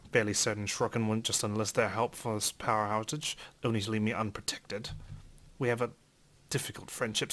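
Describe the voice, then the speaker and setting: A man reading a visual novel aloud as theatrical first-person narration, in character as an indignant gentleman. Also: medium pitch. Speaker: let's player reading a game aloud.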